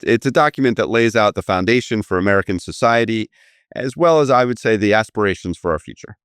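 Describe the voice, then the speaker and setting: A man's voice: lowish voice, expressive, quick pace, fairly bright tone, clean tone, no echo, clean studio sound. A man hosting a business podcast, introducing guests and asking them questions in an easy conversational way. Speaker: podcast host. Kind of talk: conversational interview.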